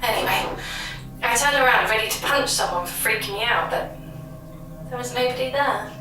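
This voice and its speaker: An actress playing a wary waitress who tells of an eerie encounter in halting, colloquial speech for a taped audio drama interview. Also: female voice, a little high, natural voice. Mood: uneasy. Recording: echoey old interview tape, distant microphone.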